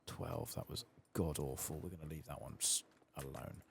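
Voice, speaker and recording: Very deep, soft-spoken gravelly man. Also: steady tone, normal speed, slight background noise. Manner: soft-spoken, low-key, muttering to himself while playing. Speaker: gaming video host. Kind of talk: soft-spoken ASMR game playthrough commentary.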